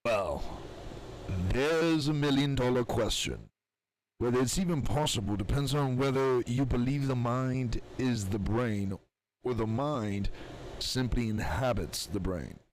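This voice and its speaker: Measured male scientist, acted by a man, noisy recording. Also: medium pitch, expressive, lightly acted. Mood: thoughtful. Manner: measured, explanatory, reflective. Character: thoughtful male scientist, measured, philosophical, quietly compassionate. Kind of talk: audio drama dialogue.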